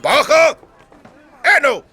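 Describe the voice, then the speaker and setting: A man's voice: very high voice, very animated, very slow, pushed and bright, voice pushed up, full character voice. A man voicing a foul-mouthed army drill sergeant who bellows barking commands in an audiobook. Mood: roaring, furious.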